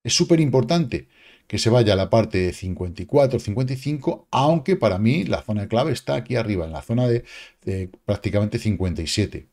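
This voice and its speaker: A man, casually commenting on crypto charts in a lively, slangy way, with exclamations and sound effects, recorded at a home desk. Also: fairly low pitch, fairly quick. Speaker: crypto market analyst. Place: home studio, indoors. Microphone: webcam framing, seated at desk.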